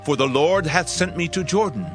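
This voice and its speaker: A man playing a grave master prophet in a dramatized Bible, speaking with solemn, measured pleading. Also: a little high, music in the background, lightly acted. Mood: gently urging.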